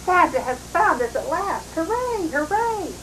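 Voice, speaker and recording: A woman voicing an excitable little chipmunk child from a storybook in simple exclamations, on a noisy old home recording. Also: very high voice, measured pace, pitched above the performer's own voice, full character voice. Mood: shrieking joy.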